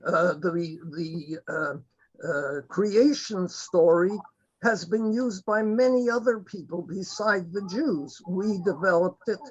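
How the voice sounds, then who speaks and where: A man speaking earnestly on a video call, halting and restarting as he makes his case. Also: high voice, slightly rough. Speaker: webinar participant. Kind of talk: impassioned remarks on a video call. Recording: dull lo-fi call audio.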